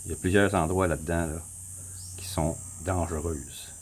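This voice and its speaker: A man with a Québécois accent, casually walking viewers through a map on his screen, rambling, repeating himself and clearing his throat often. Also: low voice, fairly even intonation, normal speed, a little hiss or hum. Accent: Québécois French. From Quebec. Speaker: vlogger. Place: home in the Philippines.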